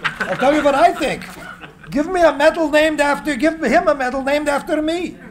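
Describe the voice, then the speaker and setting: A man's voice: extremely high pitch, moderate pace. An older man reading the part of an elderly eccentric inventor, theatrical and scornful, at a live script reading. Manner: theatrical, scornful outbursts and laughing asides. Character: elder Tesla, proud eccentric old male inventor. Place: small room with seated audience. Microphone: far camera.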